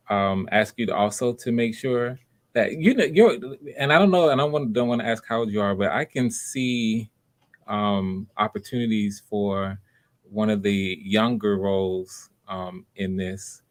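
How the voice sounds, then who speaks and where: A man's voice: on the low side, measured pace. A man hosting live auditions in a casual, encouraging way, often repeating his phrases.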